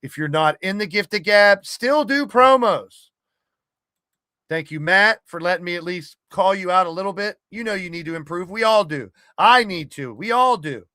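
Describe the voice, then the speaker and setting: Man, gaming podcaster. Forceful, slightly high voice, blunt and loose casual delivery, dry recording. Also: animated, moderate pace, no room sound. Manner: blunt, sweary, rambling. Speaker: podcast host and wrestling game streamer. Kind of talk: casual monologue to an online community.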